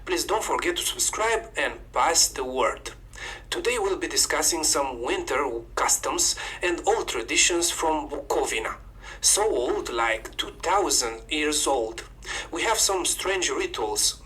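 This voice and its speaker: A man's voice: on the high side, animated, measured pace, pushed and bright, low-quality recording. A man with a Romanian accent narrating a scripted video about folk customs in an upbeat presenter manner.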